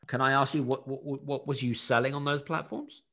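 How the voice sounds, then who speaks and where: A man's voice: medium pitch, steady tone, moderate pace, vocal fry, pushed and bright. A man hosting a coaching session, upbeat, asking direct questions. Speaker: business coach and podcast host. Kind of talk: coaching conversation.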